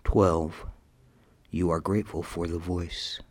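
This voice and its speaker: Deep man counting down extremely slowly. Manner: steady, measured, monotone counting with soft whispers. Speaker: hypnosis countdown narrator. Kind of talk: hypnotic induction countdown.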